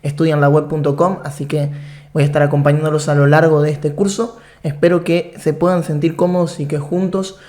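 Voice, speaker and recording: A man's voice: fairly high pitch, flat delivery, a little fast, darkish tone. A man with an Argentine accent giving an online programming lesson, explaining in a friendly way with frequent "eh" fillers. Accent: Argentine Spanish. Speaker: programming course instructor. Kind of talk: online course lecture.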